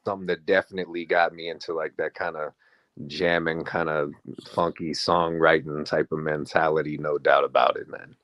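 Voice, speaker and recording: A man being interviewed about his music, talking in a relaxed, laid-back way. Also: a bass voice, moderate pace, no room sound, highs cut off. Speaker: guest musician. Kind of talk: casual interview chat.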